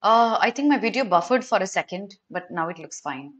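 A woman's voice: mid-range voice, expressive, a little fast. A young woman with an Indian accent teaching a live online exam prep class, giving instructions directly to students.

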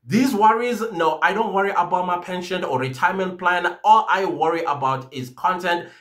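A man in his forties talking casually to camera from a small home office, animated and addressing his viewers directly. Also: high voice, normal speed. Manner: animated, gesturing a lot, speaks to viewers directly. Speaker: YouTube creator.

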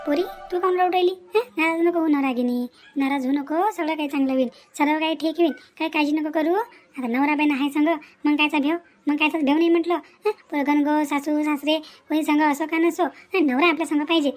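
A woman's voice: high-pitched, steady tone, a little fast, close to an everyday voice. A woman voicing an opinionated, sympathetic village aunt in a family cartoon, with a Varhadi Marathi accent and a repetitive, folksy way of talking punctuated by questioning hums. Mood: consoling. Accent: Varhadi Marathi, Vidarbha. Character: consoling, indignant village aunt, fond of the bride. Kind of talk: cartoon family melodrama acting.

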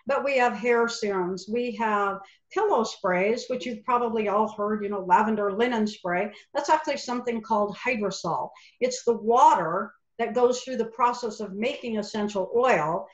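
A middle-aged woman who owns a lavender farm, chatting enthusiastically through a slide presentation. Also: normal speed. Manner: enthusiastic, chatty product pitch. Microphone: webcam video call. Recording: echoey, muffled video call audio.

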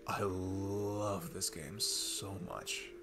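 A man playing a low, gravelly, sweet geeky dad. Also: fairly even intonation, extremely slow, background noise, heavily acted. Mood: enthusiastic, rambling. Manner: rambling, hesitant, enthusiastic. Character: sweet, earnest, geeky single dad on a date. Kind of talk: ASMR roleplay, intimate casual chat.

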